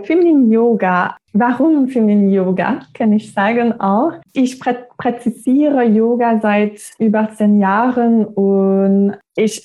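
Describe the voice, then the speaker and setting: A woman in her thirties with a Québécois French accent speaks slowly and with a soft, mellow tone. She is a native French speaker and often pauses and restarts to find her words as an interview guest. Her delivery stays unhurried throughout. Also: mid-range voice. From Quebec, Canada. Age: 30s. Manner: hesitant, searching for words with restarts. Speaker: yoga teacher, guest. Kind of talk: interview conversation.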